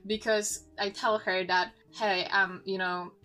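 A woman's voice: mid-range voice, level tone, measured pace, pushed and bright, soft background music, poor audio. A woman of twenty-one with a Polish accent, a podcast guest talking earnestly and a little nervously, with many restarts. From Poland. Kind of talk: casual chat.

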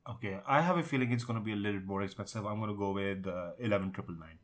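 Low-pitched Indian man, casual podcast host. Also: very fast. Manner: informal, sweary. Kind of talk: casual tech podcast chat.

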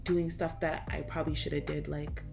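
A woman's voice: low-pitched, level tone, a little fast, creaky, music in the background. A woman in her twenties co-hosting a casual chat with a friend, slangy, teasing and easygoing. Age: 20s.

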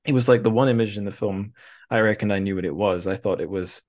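A man's voice: lowish voice, fast talker. A man hosting a casual interview podcast, musing aloud with hesitant, tentative phrasing. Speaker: podcast host.

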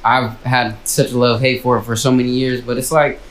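A man's voice: medium pitch, level tone, fairly quick. Laid-back Bay man, roomy sound. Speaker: podcast host. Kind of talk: casual chat.

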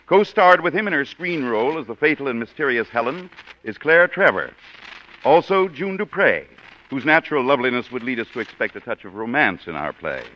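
A man's voice: mid-range voice, pushed and bright, a little hiss or hum. A man hosting a radio theater broadcast, giving a formal, florid introduction in a polished announcer manner, on a dull lo-fi recording. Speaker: radio show host and producer.

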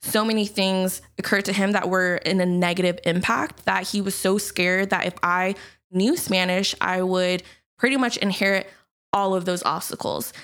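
A woman's voice: mid-range voice, steady tone, normal speed, a bit bright, clear, resonant tone. Laughing, chatty young woman co-host. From United States. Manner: laughs often, uses fillers like "like" and "you know". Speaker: podcast co-host. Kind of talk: casual chat.

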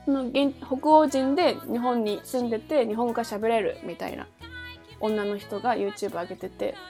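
A woman co-hosting a podcast in casual, slangy chat with animated reactions. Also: fairly high pitch, normal speed. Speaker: podcast co-host. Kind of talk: casual chat between friends. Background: background music.

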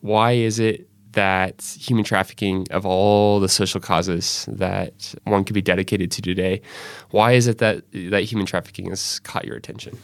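A man hosting a podcast interview, reading a measured scripted intro and then asking conversational questions. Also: low-pitched, fairly even intonation, vocal fry, no room sound. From Northwest Arkansas. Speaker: podcast host.

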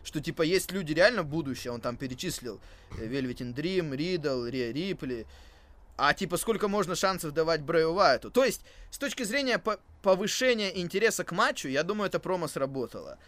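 A man's voice: a little high, expressive, fairly quick, fairly bright tone. A man co-hosting a podcast in casual chat, thinking aloud with hesitations and repeated phrases. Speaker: co-host of a wrestling podcast.

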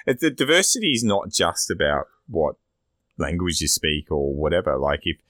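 A man's voice: low-pitched, expressive, moderate pace, a bit bright, no echo, studio quality. A man with an Australian accent speaking as a podcast host in a casual, rambling, opinionated discussion. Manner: rambling, sweary.

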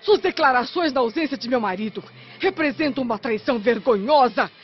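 Radio drama noblewoman, high voice, proud, eloquent, honourable wife, slightly dull sound with light music underneath. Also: lively intonation, fairly quick. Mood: indignant, reproachful. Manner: formal, eloquent, declamatory. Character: indignant, dignified married Roman noblewoman defending her honour.

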